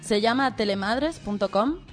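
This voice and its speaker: A woman's voice: on the high side, lively intonation, normal speed. A woman with a Spanish accent from Spain, co-hosting a radio show in an informal presenting style. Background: music.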